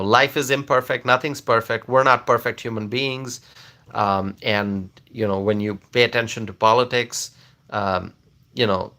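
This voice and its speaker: A man's voice: mid-range voice, bright, forceful tone. A man from Bangladesh, earnestly explaining politics to viewers in a casual, rambling way full of fillers and restarts. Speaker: political commentator answering viewers. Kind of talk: casual livestream talk.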